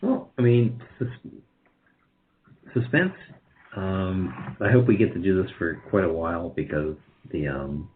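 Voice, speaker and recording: Low-voiced man in a muffled interview. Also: animated, measured pace, a little hiss or hum. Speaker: guest, radio drama producer. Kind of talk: conversational interview answers.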